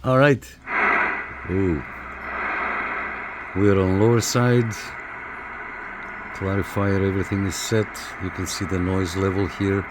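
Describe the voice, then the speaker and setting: A man giving a casual hands-on equipment review in a halting, informal, explanatory way. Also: low voice, steady tone, noisy background. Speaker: radio hobbyist reviewer. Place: small apartment room.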